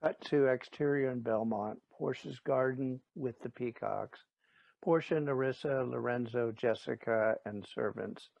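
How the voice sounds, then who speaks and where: A man's voice: mid-range voice, unhurried, creaky, a little mellow, no echo. A man reading stage directions as scripted narration, on a dull, muffled recording.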